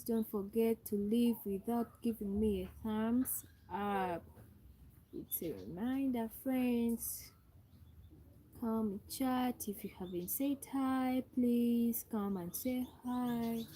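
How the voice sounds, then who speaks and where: A woman's voice: on the high side, dark tone, slight background noise. A young Ugandan woman with a Ugandan English accent, hosting a live stream and repeatedly greeting viewers and asking them to chat. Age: young adult. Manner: repetitive, calls out to viewers and asks for thumbs up. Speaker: livestream host.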